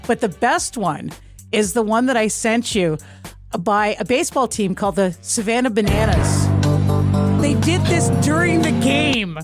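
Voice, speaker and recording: A woman's voice: a little high, lively intonation, unhurried, loud music behind the voice. Chatty woman on morning radio. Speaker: radio morning show co-host. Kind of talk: casual on-air chat. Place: radio studio.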